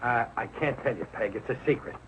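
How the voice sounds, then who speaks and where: A man's voice: medium pitch, animated, brisk, a bit bright, natural voice. An actor playing a blustering, good-hearted working-class family man with a slangy American accent in a vintage sitcom. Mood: chummy, confident. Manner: slangy, plain-spoken. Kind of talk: 1940s television sitcom acting. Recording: muffled, hissy old 1940s broadcast.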